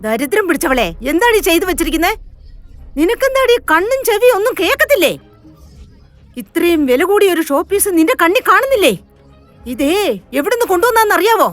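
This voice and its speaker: Woman playing a shrill-pitched, haughty, scolding mistress. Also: no echo. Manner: harsh, haughty, contemptuous. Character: cruel, scolding rich mistress of a house. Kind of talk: dramatized moral story for children.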